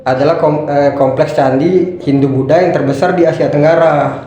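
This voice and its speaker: A young man from Jambi, a guest on a casual podcast, talking in a relaxed, hesitant way with fillers. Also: on the high side, moderate pace. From Jambi, Sumatra. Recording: very echoey room.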